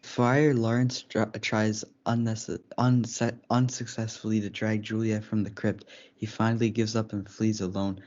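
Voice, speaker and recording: A man's voice: on the low side, level tone, measured pace, a little mellow. A male student reading scripted narration for a school reader's theatre play, sometimes stumbling over words. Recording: dull lo-fi audio.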